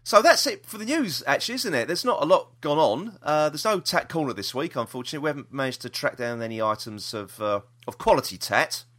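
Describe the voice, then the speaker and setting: A British man with a bright, lively voice hosts a casual podcast. His pitch moves around a lot as he rambles through the news and gives his opinions freely. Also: fast, few pauses. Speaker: podcast host. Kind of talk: casual chat about TV news.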